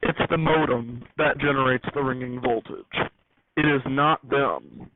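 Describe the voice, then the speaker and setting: A man's voice: medium pitch, fairly even intonation, a little slow, creaky. A man giving an unscripted technical walkthrough as a hobbyist host, rambling and correcting himself as he explains. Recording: noisy, tinny phone-like audio.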